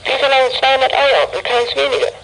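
A man's voice: very high-pitched, fast, gravelly, sharp tone, a little hiss or hum, heavily acted, low-quality recording. A young male actor playing a brash, agitated teenage boy on a walkie-talkie in a 1980s radio play. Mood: gloating, threatening. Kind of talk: 1980s German youth radio play acting.

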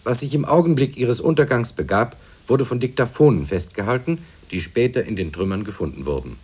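An actor playing a grave, formal news reporter reading dramatic disaster reports in a 1950s radio drama, with a husky voice. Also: male voice, mid-range voice, animated, a little fast, darkish tone.

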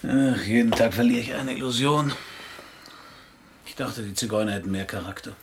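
An actor playing a cynical, middle-aged private detective in a German radio crime drama, wry and laconic. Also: male voice, medium pitch, moderate pace, background noise, natural voice. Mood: wry, probing. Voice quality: husky, gravelly.

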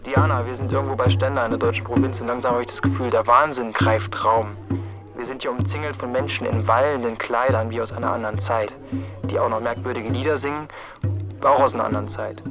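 A man's voice: mid-range voice, steady tone, quick pace. A man reporting on location for radio in a wry narrating style, on a dull lo-fi recording. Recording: dull lo-fi audio with music behind.

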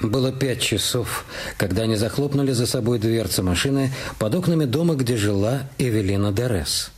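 A man's voice: mid-range voice, normal speed, darkish tone, soft background music. A man reading scripted narration for a radio detective drama. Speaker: radio play narrator and actor.

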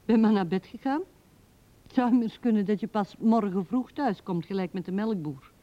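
An actress playing a neglected young wife with a pointed, sarcastic manner in a radio drama. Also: female voice, medium pitch, normal speed, lightly acted. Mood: hurt sarcasm. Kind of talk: 1970s radio drama acting. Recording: dull vintage radio recording.

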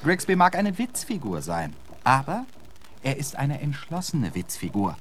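An indignant, eloquent gentleman poet speaks in a radio play. His mid-pitched delivery swings widely, with pompous, sarcastic flourishes, over faint noise. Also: huge pitch swings, unhurried. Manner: ornate, pompous, sarcastic phrasing. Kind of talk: German radio drama acting.

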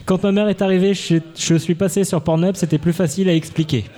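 A man's voice: sits high, fairly even intonation, fast, very noisy. A man hosting a music quiz on a casual podcast, playful and laughing often. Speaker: quiz host.

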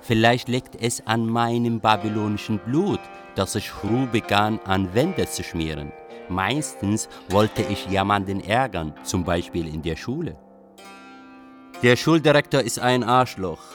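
A low actor's portrayal of a man looking back on a rebellious youth, dry and reflective. It is a radio play monologue with guitar music faintly underneath. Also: unhurried, close to an everyday voice. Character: Baghdad man wryly confessing his rebellious schooldays. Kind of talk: scripted radio drama monologue.

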